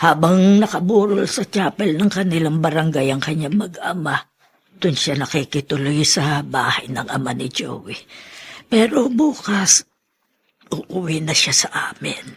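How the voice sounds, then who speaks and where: A woman playing a weary, aggrieved Filipino grandmother in a radio drama, speaking slowly and sorrowfully in a husky, breathy voice. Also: deep voice, full character voice. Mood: weary, subdued.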